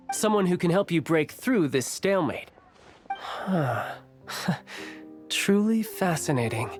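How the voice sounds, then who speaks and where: A man voicing a suave, sly young schemer in a video game, smooth-talking and teasing. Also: a little high. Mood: amused.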